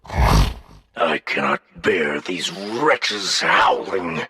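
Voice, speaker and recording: A man's voice: low-pitched, wildly swooping pitch, very slow, vocal fry, bright, forceful tone, breathy edge, no echo, heavily acted. A man voicing a haughty, contemptuous alien lord in a sci-fi audiobook, with a disdainful, imperious delivery. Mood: disgusted. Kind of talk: sci-fi audiobook character acting.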